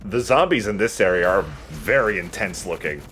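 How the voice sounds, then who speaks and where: A man's voice: low voice, expressive, normal speed, a bit bright, soft background music. A man doing casual, joking gameplay commentary in a conversational way. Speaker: let's play commentator.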